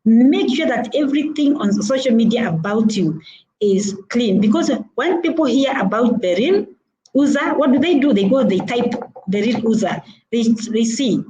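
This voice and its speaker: A woman's voice: a little high, expressive, normal speed, mellow, slight background noise, rough recording. A middle-aged woman with a Kenyan accent giving an earnest talk on networking, repeating her points with frequent hesitations. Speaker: motivational speaker. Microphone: video call.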